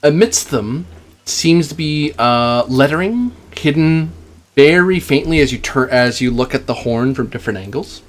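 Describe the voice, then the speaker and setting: A man narrating a tabletop game as game master, in a halting, deliberate way with pauses. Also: fairly high pitch, animated.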